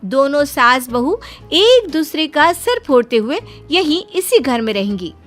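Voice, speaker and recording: A woman voicing a sharp-tongued, defiant young daughter-in-law in an animated story, with dramatic, emotional delivery. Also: high-pitched, measured pace, faint music behind, pitched above the performer's own voice, heavily acted. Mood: taunting.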